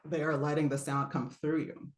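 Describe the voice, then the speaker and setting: A woman with a low voice teaches a live online singing lesson in a relaxed, chatty way. She laughs often and stops to demonstrate sounds. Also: moderate pace, roomy sound.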